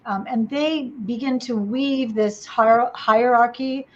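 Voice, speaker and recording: Host: a woman with a slightly high voice, asking long winding questions over dull lo-fi video call audio. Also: fairly even intonation, slow pace. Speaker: host interviewer. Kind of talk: casual interview.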